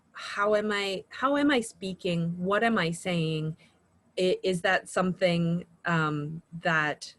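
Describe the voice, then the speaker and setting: A woman speaking in a measured, reflective way as a panelist in an online workshop over a video call. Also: lowish voice.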